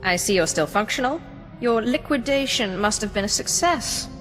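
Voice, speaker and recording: A woman's voice: on the high side, expressive, normal speed, a bit bright, soft background music. A woman playing a cool, commanding master in a video game, dryly sardonic and coldly amused by cruelty. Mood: darkly amused. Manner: dry, imperious, sardonic. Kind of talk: video game character dialogue.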